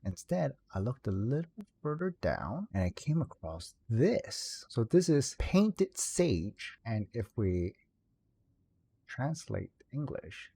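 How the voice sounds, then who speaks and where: A man's voice: medium pitch, sing-song, slow pace, gravelly, mellow, husky. A man narrating a casual on-screen walkthrough, explaining things step by step as a video creator. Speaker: video creator giving a game guide.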